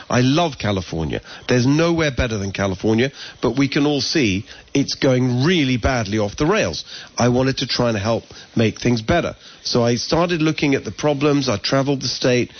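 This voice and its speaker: A middle-aged man with an English accent answers interview questions in an earnest, eager way, often breaking off and restarting his sentences. His voice sits at an ordinary pitch. The recording is a bit dull and lo-fi. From England. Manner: earnest, talks in quick restarts and self-corrections. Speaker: political candidate guest. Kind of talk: conversational interview.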